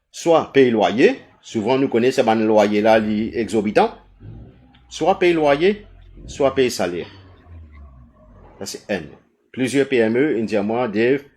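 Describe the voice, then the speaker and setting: A man with a Mauritian accent speaking on an online panel, earnest, his pace shifting between quick and slow. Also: fairly low pitch, a little mellow. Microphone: video call.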